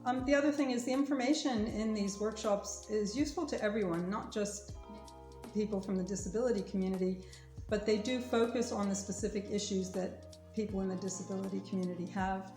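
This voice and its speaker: A woman lawyer talks straight to viewers at a middle pitch, earnest and careful, urging them along. Her voice rings a little in a roomy space, with faint music underneath. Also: steady tone, fairly quick, a little mellow. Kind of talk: informational talk to viewers.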